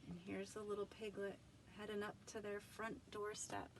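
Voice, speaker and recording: A woman reading a children's storybook aloud with commentary, in an expressive, emphatic storytelling manner. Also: medium pitch, normal speed, heavy background noise. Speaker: storybook reader.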